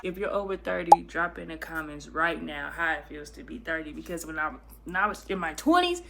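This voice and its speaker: A woman's voice: deep voice, animated, a little fast, creaky. A woman in her early thirties who hosts a casual reaction vlog, talking freely and animatedly, often restarting and repeating her phrases. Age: 30s. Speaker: vlogger reacting to clips. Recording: clicking noise in the background.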